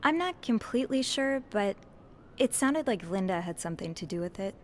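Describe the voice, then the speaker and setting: A friendly, inquisitive young hotel maid, played by a woman in a narrative video game. She speaks naturally and casually at a medium pitch, a little hesitant and softly teasing, over faint background noise. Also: animated, normal speed, close to an everyday voice. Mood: puzzled, earnest. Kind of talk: natural conversational game acting.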